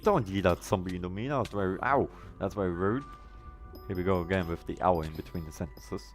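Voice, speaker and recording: A man's voice: low voice, brisk, faint music behind. A man whose first language is German, live-streaming a game with casual commentary and chatting to viewers in wordy, oddly formal phrases.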